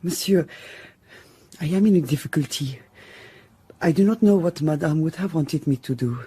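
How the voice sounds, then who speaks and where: Soft, husky guarded maid. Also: female voice, low-pitched, normal speed. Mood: anxious, deferential. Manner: formal, guarded. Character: guarded, anxious French lady's maid, loyal and deferential. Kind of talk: audio drama dialogue.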